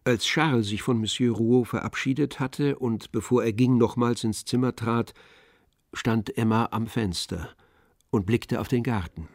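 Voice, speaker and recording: Creaky-voiced male literary narrator. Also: medium pitch, measured pace, a little mellow, dry recording, studio quality.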